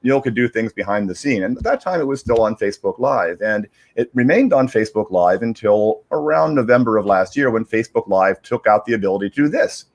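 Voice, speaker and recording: A man chatting casually on a livestream, explaining in a halting, rambling way and repeating words as he searches for phrasing. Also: on the low side, expressive. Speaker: digital media strategist, guest reader.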